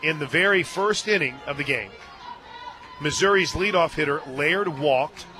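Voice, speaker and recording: A man doing live play-by-play softball commentary for radio from a stadium booth. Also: on the high side, lively intonation, normal speed. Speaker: play-by-play announcer. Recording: crowd noise behind. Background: ballpark crowd and music.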